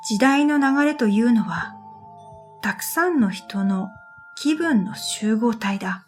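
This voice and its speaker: A woman reading a scripted first-person story in a reflective, measured way, as narrator of an audio drama. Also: a little high, lively intonation. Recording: background music under the voice. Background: music.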